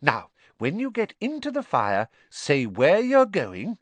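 A man reading aloud voices a fretful, coaxing middle-aged mother witch in a high, lilting voice. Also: wildly swooping pitch, slow, no room sound, heavily acted. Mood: fussing.

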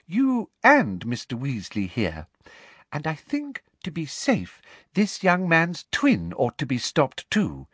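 A man's voice: on the high side, huge pitch swings, takes it slowly, a bit bright, husky, no room sound, voice pushed up, full character voice. A man voicing a smug, vindictive older female school official in an audiobook, prim, precise and self-satisfied. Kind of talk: audiobook character voice.

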